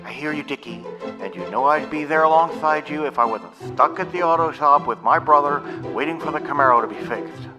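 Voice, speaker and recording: A man's voice: a little high, brisk, music in the background, close to an everyday voice, low-quality recording. An actor playing a pompous, bossy, nerdy boy mission commander in an audio drama, speaking in formal, wordy phrases. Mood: businesslike.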